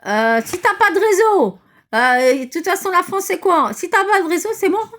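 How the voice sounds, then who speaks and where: A woman's voice: extremely high pitch, animated, moderate pace, a bit bright. A woman talking casually and bluntly at home, outspoken and exasperated. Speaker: vlogger. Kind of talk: casual indignant chat.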